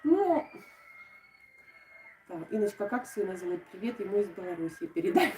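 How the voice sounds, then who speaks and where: A woman's voice: mid-range voice, very animated, moderate pace, a little hiss or hum. Chatty Ukrainian woman, distant roomy sound. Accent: southern Ukrainian Russian, soft g and "sho". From Odesa, Ukraine. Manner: chatty and warm toward viewers, with frequent laughs and fillers. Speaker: host of a craft live stream. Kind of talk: casual live-stream chat, reading out viewer comments.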